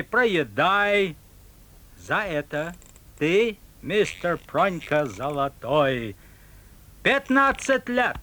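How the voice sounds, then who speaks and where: An actor playing a rich, bossy tourist in a theatrical folk-tale audio play. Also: male voice, on the high side, sharp tone, full character voice. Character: rich, bored, bossy American tourist man.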